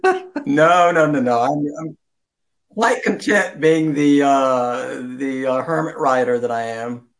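A man's voice: mid-range voice, slow. Older man, rambling and chuckling. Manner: rambling, chuckling, self-deprecating. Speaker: interview guest, writer. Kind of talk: conversational interview answers.

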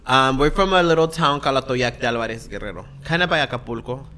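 A man's voice: medium pitch, fast, sharp tone. A man talking casually as a podcast guest, chatty and informal, sometimes slipping in Spanish. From East San Jose, California, raised there from age three. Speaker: podcast guest, activist performer. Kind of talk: casual chat.

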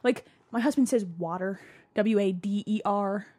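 A woman's voice: medium pitch, a little slow, mellow. A woman podcast co-host with a slight New Jersey accent, chatting casually, joking crudely and laughing often. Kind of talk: casual chat.

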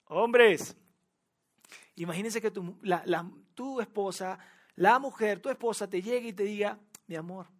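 High-voiced Venezuelan man preaching. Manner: lively, repeats key phrases for emphasis, addresses audience directly. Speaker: pastor. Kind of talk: sermon.

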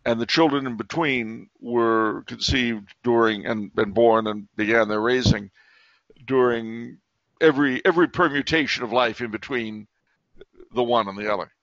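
A man's voice: medium pitch, normal speed, a bit bright, no echo, dull audio. A man speaking as an author guest in a casual interview, hesitant, with many fillers and restarts.

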